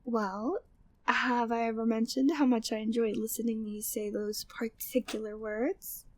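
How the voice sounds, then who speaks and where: High-voiced flirty, devoted maid played by a woman. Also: flat delivery, normal speed, natural voice. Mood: smitten, teasing. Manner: formal, genteel phrasing, breathy sighs, teasing. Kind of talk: intimate ASMR roleplay, scripted monologue.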